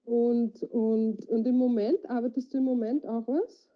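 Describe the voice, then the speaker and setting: A woman's voice: fairly high pitch, little pitch movement, a little slow. A woman interviewer asking short, direct questions over a video call. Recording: dull lo-fi online call audio.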